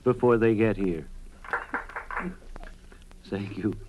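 A man playing a mild, absent-minded elderly father with halting speech in an old-time radio soap parody. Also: lowish voice, highs cut off, voice pushed down. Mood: mild, vague. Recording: noisy old radio recording with clicks.

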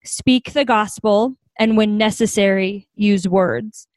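A woman's voice: mid-range voice, takes it slowly, dry recording, rough recording. A young adult woman, a guest, telling stories in a casual interview, laughing often as she talks. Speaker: founder of a hospitality home, guest.

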